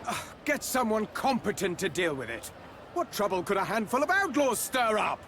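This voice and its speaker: An actor playing an imperious, excitable medieval king in a video game, grandiose and theatrical. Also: high voice, normal speed, a little hiss or hum. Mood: irritated, dismissive. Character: haughty, excitable Hungarian crusader king.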